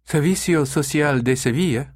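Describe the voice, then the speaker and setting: A man voicing a pleasant, courteous woman receptionist at a Spanish agency, speaking slowly and helpfully. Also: fairly high pitch, darkish tone, no room sound, studio quality, voice pushed up, lightly acted. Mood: polite, helpful.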